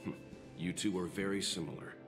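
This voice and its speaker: Game companion, male actor: low-pitched, serious and reserved, courteous delivery, music clearly behind. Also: steady tone, brisk, lightly acted. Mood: thoughtful. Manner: courteous, formal, composed. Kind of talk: video game dialogue.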